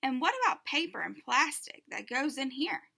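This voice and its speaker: A woman's voice: high-pitched, lively intonation, moderate pace, creaky, sharp tone, exaggerated voice. A woman voicing a patient male schoolteacher in a children's storybook, explaining things in an emphatic, instructive way.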